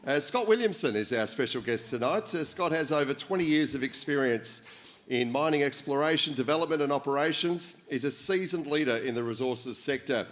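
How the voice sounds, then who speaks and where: A man hosting an awards dinner, introducing a guest and interviewing him on stage, with relaxed speech full of "uh" fillers. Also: medium pitch, brisk. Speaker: event host and interviewer. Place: function hall at a dinner event.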